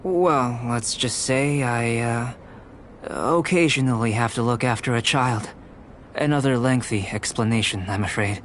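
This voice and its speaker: A man voicing a calm, curious young male alchemist in a video game, with precise, academic and politely detached delivery. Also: mid-range voice, a little hiss or hum, lightly acted. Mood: curious, explaining. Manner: measured, precise, academic phrasing.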